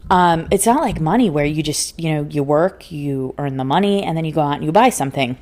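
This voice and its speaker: A woman's voice: low-pitched, animated, a little fast, a little mellow. A woman hosting a solo spiritual self-help podcast, speaking earnestly and emphatically, often laughing and repeating phrases for emphasis. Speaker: podcast host and life coach. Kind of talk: solo motivational podcast talk.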